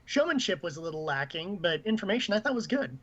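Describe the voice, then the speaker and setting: A man with a notably high voice co-hosts a gaming stream. He talks casually and at length, rambling through his opinions, and the audio is slightly dull and lo-fi. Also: sing-song, fast talker, a bit bright. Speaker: co-host of a gaming livestream. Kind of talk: casual chat and commentary on games news.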